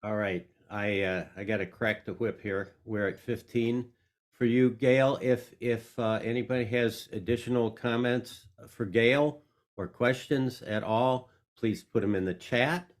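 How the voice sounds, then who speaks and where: A man hosting an online storytelling session, moderating with brisk, informal directions over a video call. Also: medium pitch, fairly even intonation. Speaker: host. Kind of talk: moderating a workshop.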